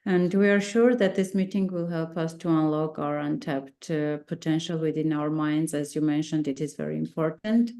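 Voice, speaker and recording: A woman moderates an online meeting and opens it with a formal welcome. Her voice is low and mellow, and she speaks in a measured way over the call.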